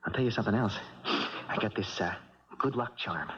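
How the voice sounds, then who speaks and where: A man's voice: on the low side, a little fast, a bit bright, slightly rough. A man playing a confident, eager young American boxer with casual, colloquial speech in a 1970s radio drama. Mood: cocky, eager. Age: young adult. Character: Bobby Barlow, cocky young boxer, eager and plainspoken. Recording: dull 1970s radio broadcast.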